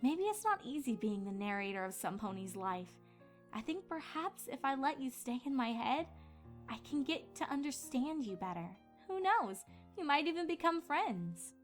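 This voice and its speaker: A woman's voice: high voice, very animated, normal speed, faint music behind. A woman voicing an earnest, easily flustered young female pony princess in a fan fiction audio drama. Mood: apologetic, earnest.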